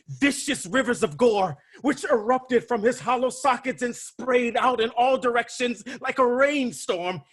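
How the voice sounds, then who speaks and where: An actor playing a grim palace messenger, giving a grave and theatrical recitation in a staged reading held over a video call. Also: male voice, very high voice, fairly bright tone, breathy edge, heavily acted. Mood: mournful. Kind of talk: staged reading of a Greek tragedy.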